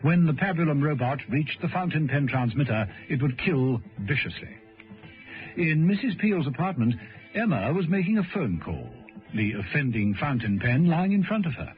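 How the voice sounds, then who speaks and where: Male narrator, vintage radio serial, dramatic, suspenseful, animated intonation, dull recording, faint music behind. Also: a little high, normal speed. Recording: muffled old radio broadcast recording.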